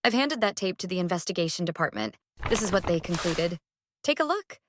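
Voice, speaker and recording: A woman's voice: lowish voice, expressive, fairly quick, noisy background, close to an everyday voice. A woman playing a businesslike police officer reporting to a superior in an amateur horror drama, matter-of-fact in manner.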